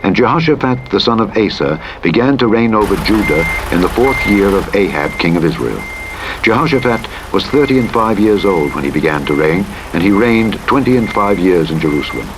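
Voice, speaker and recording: A man narrates scripture in a solemn, formal style, with measured and dramatic delivery. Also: on the low side, expressive. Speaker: audiobook Bible narrator. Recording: loud truck engine noise over voice. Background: truck engine and vehicle sounds from driving simulator game.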